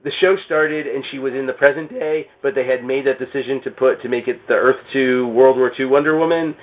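A man in casual conversation, heard through a narrow, phone-like band. His voice sits at a middling pitch. He talks haltingly, often doubling words and starting sentences over. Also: fairly even intonation. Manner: halting, repeats words and restarts mid-sentence. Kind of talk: casual chat about comic books. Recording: thin, band-limited phone-like radio sound.

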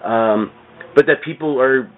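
A man's voice: mid-range voice, measured pace. A middle-aged man chatting casually about comics as a podcast guest, rambling with plenty of "you know". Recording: thin phone-like radio sound.

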